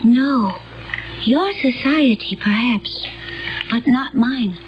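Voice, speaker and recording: A dull, vintage radio drama recording carries a high female voice. a dreamy, otherworldly accused murderess who speaks slowly and formally, serene and removed from everyone around her. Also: background noise. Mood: troubled, distant. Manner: deliberate, formal phrasing. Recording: band-limited old radio drama recording.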